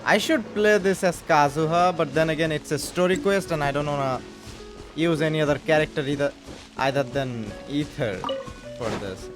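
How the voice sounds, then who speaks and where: A man's voice: a little high, moderate pace, music in the background. A man giving casual gameplay commentary as a let's player, muttering and repeating himself in exasperation.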